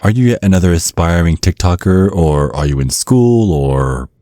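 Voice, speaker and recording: A man's voice: low-pitched, expressive, normal speed, dark tone, no room sound, studio quality. A man playing a centuries-old vampire father in an ASMR roleplay, protective and slyly polite, teasing with veiled threats. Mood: probing, sly. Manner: mock-courteous, insinuating, teasing with veiled threats.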